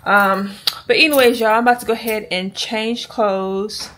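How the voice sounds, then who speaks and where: A woman's voice: a little high, fairly even intonation, moderate pace, a bit bright. A young woman, chatting casually to her viewers in a rambling way while holding the camera at arm's length. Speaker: vlogger. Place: home bedroom and a store. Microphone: handheld camera at arm's length.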